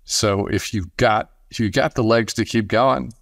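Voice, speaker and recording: Low-voiced male podcast host. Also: creaky, a bit bright, no echo, studio quality. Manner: halting, repeats words and restarts often. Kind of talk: casual sports podcast interview.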